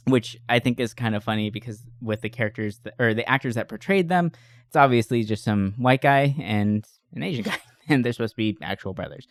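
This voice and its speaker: A man's voice: medium pitch, fairly quick, no echo, studio quality. A man co-hosting a podcast in an enthusiastic, informal chat, swearing casually. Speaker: podcast co-host. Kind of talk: casual chat about movies.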